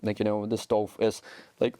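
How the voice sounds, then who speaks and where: A man's voice: lowish voice, completely flat delivery, moderate pace, dark tone, dry recording. A Ukrainian soldier in his twenties speaking English with a Ukrainian accent, rambling with lots of "like" and restarts as an interview guest. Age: 20s. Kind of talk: interview conversation.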